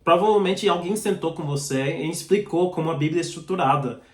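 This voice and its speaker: A man delivering a sermon with a noticeable foreign accent, since Portuguese is not his first language. His voice sits fairly high for a man, and he teaches in an earnest, direct way. The recording has a roomy echo. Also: brisk. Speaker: preacher. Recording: echoey room.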